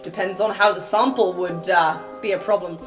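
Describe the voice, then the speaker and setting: A woman's voice: fairly low pitch, steady tone, moderate pace, faint music behind, voice pushed down, natural voice. A woman voicing a matter-of-fact student inventor in an improvised tabletop game, explaining in a casual, practical way.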